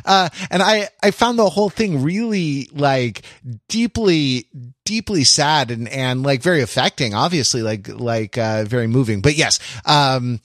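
A man co-hosting a podcast, chatting casually in animated, rambling explanations. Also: medium pitch, measured pace, no room sound, studio quality. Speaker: podcast co-host. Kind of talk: casual panel discussion.

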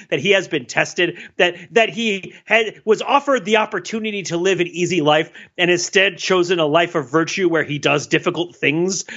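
Male podcast co-host, bright, slightly high voice, rambling analytical chat, dull lo-fi audio. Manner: digressive and wordy, restarts often, laughs at own jokes.

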